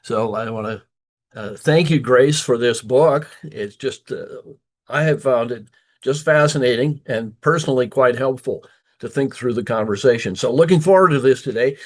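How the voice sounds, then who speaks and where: A man's voice: medium pitch, normal speed. An older man who is a grandparent, hosting a monthly conversation series and interviewing a guest with frequent hesitations and fillers. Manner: warm, hesitant with many fillers. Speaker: host.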